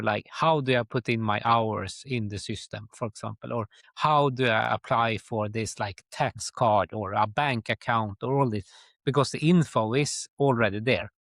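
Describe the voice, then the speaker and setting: A middle-aged man from Sweden with a Swedish accent, answering interview questions conversationally in a halting way, often dropping in "like". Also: mid-range voice, fairly bright tone, dry recording, clean studio sound. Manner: halting, with very frequent "like". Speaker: guest, tour company chief executive.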